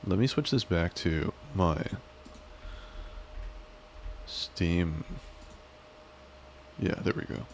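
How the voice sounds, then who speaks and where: A man chats on a live stream, wandering from joke to joke and teasing himself along the way. His voice sits very low. A faint hiss runs under the recording. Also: animated, drawn-out words, creaky, pauses often, a little mellow. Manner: rambling, joking, self-deprecating. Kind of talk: casual live-stream chat.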